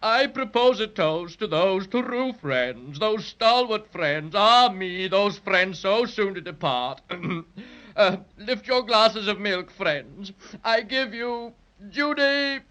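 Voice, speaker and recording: Pompous, high-voiced storybook dragon. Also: male voice, expressive, slow pace, sharp tone, exaggerated voice. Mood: sentimental, grandiose. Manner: florid, theatrical oratory. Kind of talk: 1930s radio acting. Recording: muffled vintage 1930s radio recording.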